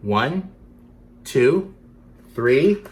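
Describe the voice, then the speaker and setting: A man's voice: mid-range voice, very animated, drawn-out words, a little hiss or hum. A man reading a picture book to children, playfully addressing young listeners as he goes. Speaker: storytime reader for children.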